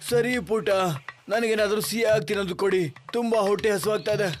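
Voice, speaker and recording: A man playing a humble old beggar who pleads politely in an animated moral story. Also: high voice, fairly quick, soft background music, close to an everyday voice. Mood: gentle pleading. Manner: pleading, deferential.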